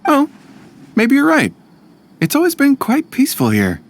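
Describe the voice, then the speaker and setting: A woman's voice: high voice, huge pitch swings, moderate pace, noisy background, exaggerated voice. A woman playing a mild, wistful townswoman in a video game. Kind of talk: English video game voice acting.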